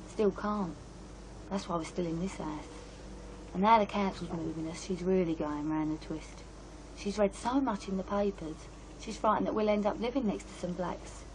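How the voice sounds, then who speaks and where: A woman's voice: medium pitch, close to an everyday voice. An actress playing an aggrieved British woman in her twenties, confiding and colloquial, in a radio drama. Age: 20s. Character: bitter, trapped young British woman of twenty-three. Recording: noisy radio play scene with ambience.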